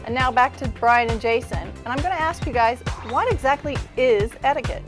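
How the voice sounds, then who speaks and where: A woman's voice: a little high, brisk, over music. A woman presenting as a show correspondent, answering viewer questions in a polite, instructive, scripted delivery.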